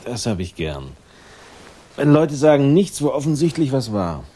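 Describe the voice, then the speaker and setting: Husky, wry, prodding man. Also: mid-range voice, sing-song, normal speed, mellow. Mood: needling. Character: prodding, wry man questioning his secretive lover. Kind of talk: late-1960s German radio crime drama acting.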